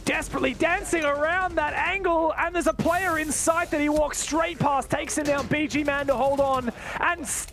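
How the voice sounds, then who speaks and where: A man's voice: extremely high pitch, fairly even intonation, moderate pace, few pauses, fairly bright tone. A man commentating live on an esports match, mixing play-by-play with analysis.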